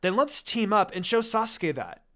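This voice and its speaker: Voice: mid-range voice, very animated, normal speed, fairly bright tone, pitched above the performer's own voice. A narrator voicing a determined, hot-tempered young ninja girl in a story reading, insistent and scolding.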